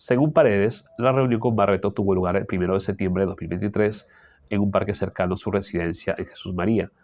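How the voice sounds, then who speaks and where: A man with a Peruvian Spanish accent reads a political column aloud and adds his own asides, often clearing his throat because of an allergy. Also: low voice, steady tone, fast talker, a little mellow, no echo. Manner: frequent throat clearing and tag questions. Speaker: political commentator reading the news. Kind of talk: reading a news column aloud with personal asides.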